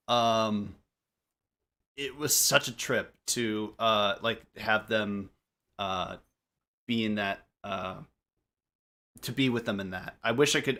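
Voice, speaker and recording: A man chatting casually on a group podcast, telling stories in a halting, rambling way that keeps interrupting itself. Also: medium pitch, a bit bright. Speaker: podcast member, amateur voice actor.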